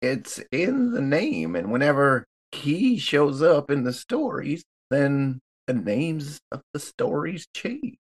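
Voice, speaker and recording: A man's voice: a little high, big pitch swings, slow, few pauses, slightly rough. A man in casual, joking conversation as a player in a tabletop story game. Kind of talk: casual joking group chat.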